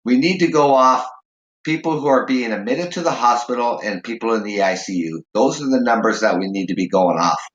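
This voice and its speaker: A man's voice: mid-range voice, fairly quick, a bit bright, roomy sound, muffled recording. A man from Canada hosting a casual call-in show, talking in a rambling way with lots of fillers while nursing a sore, raw throat. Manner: rambling, many fillers and restarts. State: sore, raw throat, holding back a cough. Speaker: show host.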